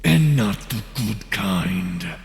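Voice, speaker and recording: A sinister reaper spirit, voiced by a man, draws out each word with cruel mockery. The voice is loud, gravelly and very slow, in the style of horror audio drama. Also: fairly low pitch, lively intonation, slight background noise, heavily acted. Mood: mocking. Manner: sinister, drawn-out. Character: cruel, mocking shadowy reaper spirit with red eyes.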